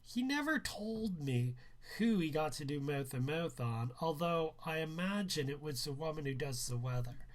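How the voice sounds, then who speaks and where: A man reads a novel aloud as its author. His delivery is slow and measured, with lively swings in pitch and an expressive storyteller's phrasing. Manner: measured, expressive, acts out dialogue. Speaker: author reading own book. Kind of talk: narrated live book reading, first-person teen story.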